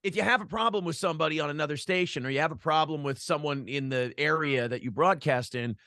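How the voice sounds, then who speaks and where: Higher-voiced American man in dry, close sound. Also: quick pace, dry recording. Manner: animated storytelling, acting out imagined quotes. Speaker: podcast host being interviewed, former radio broadcaster. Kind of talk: casual, profane interview chat.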